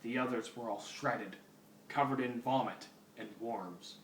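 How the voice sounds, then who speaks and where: A man's voice: medium pitch, measured pace, slight background noise. A man giving a mock-serious, theatrical dramatic reading of fan fiction. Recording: roomy, distant mic under loud music.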